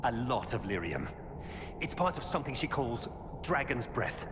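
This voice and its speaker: A man's voice: medium pitch, very animated, normal speed, close to an everyday voice. Man playing a grave former knight, echoing cavern. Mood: grave, weary. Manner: earnest, grave, urgent. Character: weary earnest former knight turned defector, grimly warning. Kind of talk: dramatic video game dialogue. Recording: cavernous reverb with loud background noise.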